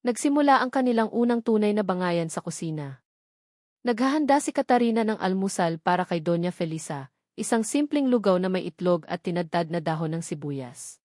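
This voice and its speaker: A woman narrating a romance drama as a storyteller, in a measured, unhurried way. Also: on the low side, lively intonation, darkish tone, dry recording, studio quality.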